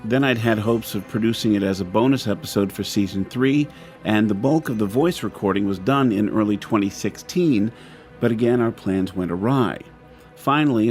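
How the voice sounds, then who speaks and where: A man's voice: on the low side, darkish tone. A man hosting an audio drama show, reading closing credits in a chatty, informal way. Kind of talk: podcast outro with credits, lightly scripted.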